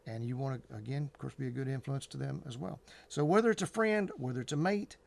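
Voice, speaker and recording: A man with a mid-pitched, gravelly voice that often dips into fry speaks as if chatting to his viewers. He gives advice in an earnest, colloquial way, halting and repeating himself as he rambles along. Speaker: host of an advice channel.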